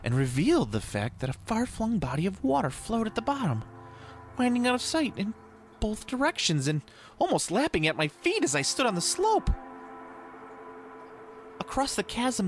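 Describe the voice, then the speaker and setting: A man reading a horror tale aloud as a dramatic audiobook narrator, in a deliberate, theatrical storytelling manner. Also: high-pitched. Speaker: podcast host reading a story. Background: ambient soundscape.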